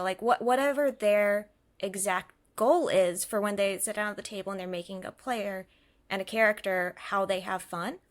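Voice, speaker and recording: A woman game designer on a podcast panel, explaining things casually in a thoughtful, analytical way. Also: mid-range voice.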